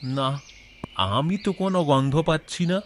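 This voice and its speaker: A man's voice: on the high side, unhurried, slight background noise, lightly acted. A man voicing a matter-of-fact, dismissive villager in a cartoon ghost story. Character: dismissive villager shrugging off a bad smell.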